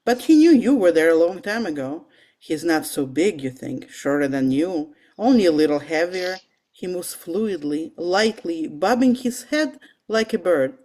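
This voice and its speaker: Woman reading a dry, lowish-voiced male scientist. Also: expressive, a little slow, a little mellow. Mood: menacing lecture. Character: gruff, wry male paleontologist, lecturing and guarded. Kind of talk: amateur table read of a film script.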